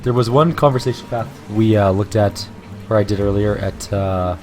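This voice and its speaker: A hoarse man with a low voice chats casually as he hosts a game playthrough, still recovering from bronchitis. Faint game music plays under his voice. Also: normal speed. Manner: relaxed, chatty. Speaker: let's play host. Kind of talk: casual gameplay commentary and reading.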